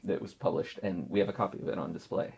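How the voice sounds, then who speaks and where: A man's voice: deep voice, fast, gravelly, breathy edge. A man from Mexico, around his thirties, working as a museum curator and talking casually, telling stories thoughtfully with bursts of laughter. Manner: thoughtful, lively storytelling with laughs. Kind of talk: casual conversation.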